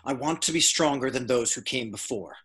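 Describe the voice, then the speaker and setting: A man playing a brooding, power-hungry young dark warrior, husky and intense, in a fan table read. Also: medium pitch. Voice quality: husky, breathy. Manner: slow, intense, deliberate.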